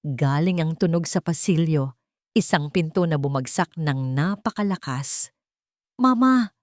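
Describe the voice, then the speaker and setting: A woman narrating a dramatic story in an expressive, suspenseful storytelling manner. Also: low voice, a little slow, no room sound, studio quality.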